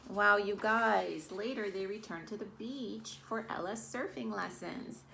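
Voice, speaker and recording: A woman's voice: mid-range voice, unhurried, gravelly, pushed and bright, slight background noise. A woman reading a picture book aloud to young children and talking to them directly as a storytime host and yoga teacher. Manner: talks directly to children, addressing them as friends. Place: at home, virtual storytime.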